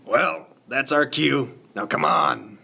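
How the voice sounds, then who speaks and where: A man playing a laconic, folksy lighthouse keeper in a radio play, talking in a plain, unhurried, colloquial way. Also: mid-range voice, very animated, creaky, bright, forceful tone, highs cut off, heavily acted. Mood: dry, matter-of-fact. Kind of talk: 1950s-set radio play dialogue.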